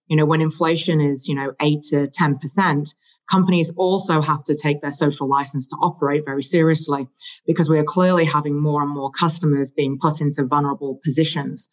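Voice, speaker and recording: Finance guest, mid-pitched voice, explanatory, lots of fillers, slightly muffled sound. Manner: explanatory, frequent "you know" fillers. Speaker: investment analyst guest. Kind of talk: conversational explanation.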